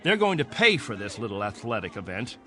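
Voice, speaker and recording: An actor playing a stern, proud, controlling captain of stranded settlers in a radio drama, with a commanding, insistent manner. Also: male voice, fairly low pitch, sing-song, fairly quick, bright, forceful tone. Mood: troubled, disapproving. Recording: radio drama with music underneath.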